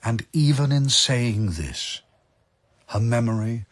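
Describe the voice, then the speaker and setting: Slow, husky male narrator. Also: medium pitch, lively intonation. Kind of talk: dramatic verse storytelling, scripted narration. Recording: music behind the voice. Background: music.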